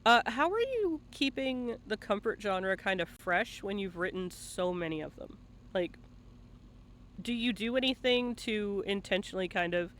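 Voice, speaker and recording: A man's voice: high-pitched, a bit bright, slight background noise. A man working as a livestream interviewer, asking questions in a casual, conversational way.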